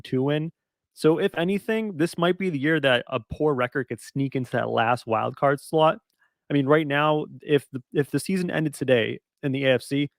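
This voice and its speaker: A man hosting a podcast, talking in a relaxed and chatty way. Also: medium pitch, no room sound, studio quality. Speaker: podcast host. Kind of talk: casual podcast chat.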